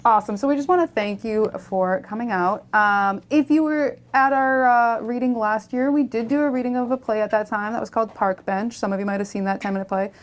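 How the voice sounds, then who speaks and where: A woman's voice: a little high, a little fast, hardly any pauses. A woman from a theater group giving a casual announcement at an event. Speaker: theater company member.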